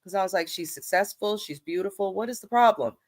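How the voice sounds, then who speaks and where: A young woman hosting a casual TV recap, congested and a bit nasal from allergies, blunt and animated, swearing freely. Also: medium pitch, brisk. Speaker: host.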